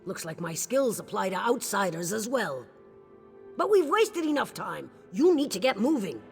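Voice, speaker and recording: A woman's voice: mid-range voice, big pitch swings, moderate pace, creaky, faint music behind. A woman playing a devoted orc warrior queen in a video game, speaking solemnly, earnestly and with resolve. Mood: stern, reluctant. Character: devoted orc warrior queen, king's sworn shield-wife. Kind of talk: scripted video game dialogue.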